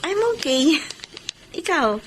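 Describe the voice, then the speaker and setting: A woman's voice: high voice, sing-song, slow pace, a bit bright, a little hiss or hum, exaggerated voice. An actress in a Filipino radio drama playing a devoted, wounded woman leaving her partner, in a heartfelt, melodramatic way. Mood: sweet, friendly. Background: background music.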